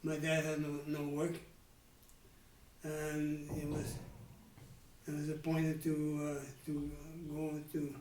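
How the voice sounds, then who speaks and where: A man's voice: fairly high pitch, completely flat delivery, a little mellow, roomy sound, far from the mic, slight background noise, poor audio. A man in his nineties with a Dutch accent, recalling his childhood in a candid interview and often stopping to restart his sentences. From Netherlands. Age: 90s. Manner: halting, many restarts and trailing pauses. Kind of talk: candid life-story interview.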